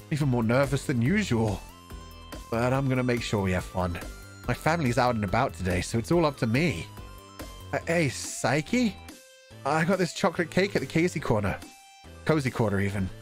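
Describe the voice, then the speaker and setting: A man plays a visual novel and reads the lines aloud. His mid-pitched voice swings widely and playfully as he adds jokey asides. Game music plays behind him. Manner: animated, stammering for effect, jokey. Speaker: streamer. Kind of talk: playful let's play, reading game dialogue aloud with ad-libs.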